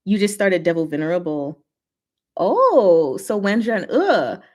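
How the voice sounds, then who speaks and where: A woman's voice: mid-range voice, sing-song, a little slow, darkish tone. A woman hosting a livestream, chatting informally and giggly as she reads and answers viewer comments. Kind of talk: casual livestream chat, reading comments aloud.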